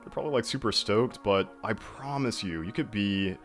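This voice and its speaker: A man with a deeper than average voice, chatting as a guest and telling lively stories full of fillers. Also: normal speed, faint music behind. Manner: animated storyteller, filler-heavy, laughs easily. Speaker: guest artist. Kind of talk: casual video call chat.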